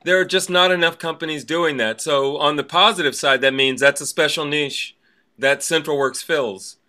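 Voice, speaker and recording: Bright, effusive podcast co-host. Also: medium pitch, steady tone, normal speed. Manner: effusive, emphatic. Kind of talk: conversational interview.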